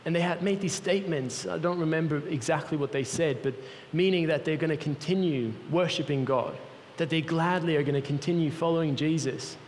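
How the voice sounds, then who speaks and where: A man's voice: on the high side, brisk, few pauses, a little mellow. Man, Swiss accent, earnest guest preacher, long hall reverb, faint room noise. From Switzerland. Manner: earnest, personal storytelling. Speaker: guest speaker for a mission organization. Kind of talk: sermon. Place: large church hall.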